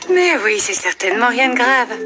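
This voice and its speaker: High, animated cartoon neighbour woman. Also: moderate pace. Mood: reassuring. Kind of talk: animated cartoon dub acting. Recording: music behind the voice.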